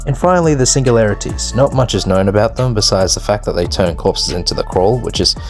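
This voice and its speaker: Over background music, a man with a fairly low voice narrates a commentary video. He speaks in a casual, opinionated way, using slang and profanity throughout his scripted delivery. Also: lively intonation, fast talker. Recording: music behind the voice.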